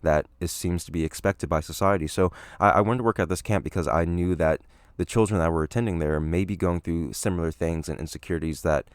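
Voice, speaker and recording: A young man hosting a solo podcast, talking casually with a slightly raspy voice, hedging and filling pauses with "you know" and "um". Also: sits low, no room sound, clean studio sound. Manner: rambling, hedging, many fillers. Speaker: podcast host.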